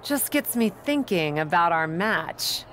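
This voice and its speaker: A woman's voice: fairly low pitch, very animated, measured pace, fairly bright tone, a little hiss or hum. An actress playing a confident young female wrestling champion who talks in a playful, persuasive way. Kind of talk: video game cutscene acting.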